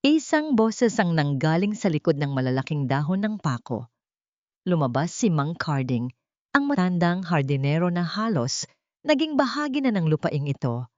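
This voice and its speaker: Female drama narrator, low register, solemn delivery, slightly muffled lo-fi recording. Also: very animated, moderate pace, darkish tone, no echo. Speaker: audiobook narrator. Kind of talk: dramatic scripted narration of a love-story drama. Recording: dull, dry lo-fi recording.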